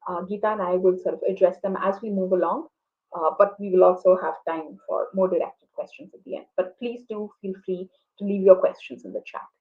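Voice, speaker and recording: A woman's voice: medium pitch, fairly even intonation, a little fast. Reflective woman on a muffled call. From India. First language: Tamil. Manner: reflective, many ums and restarts. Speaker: moderator. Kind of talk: online book conversation. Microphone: video call. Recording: dull lo-fi video call audio.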